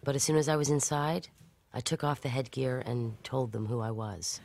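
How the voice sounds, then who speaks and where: A woman's voice: very deep voice, normal speed, gravelly, a little mellow. An actress playing a defiant woman fugitive who recounts her escape in a wry, conversational, matter-of-fact way in an audio drama. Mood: wry, weary.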